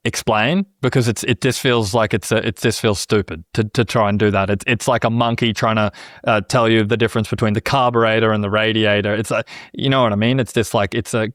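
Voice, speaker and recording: A man's voice: on the low side, steady tone, fairly quick, a bit bright, no room sound. Earnest rambling man, dry close sound. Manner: rambling and earnest, many restarts, often says "you know what I mean". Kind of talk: casual conversation.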